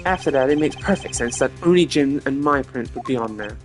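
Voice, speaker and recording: A slippery male suspect played by a man talks in a mid-range voice with smug, glib ease, taunting his accuser. Clear game music runs behind him. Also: medium pitch, animated, brisk, natural voice.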